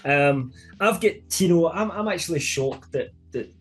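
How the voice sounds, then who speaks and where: A man's voice: a little high, animated, normal speed, breathy edge. British man chatting football over music. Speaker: sports podcast host. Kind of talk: casual football chat with friends.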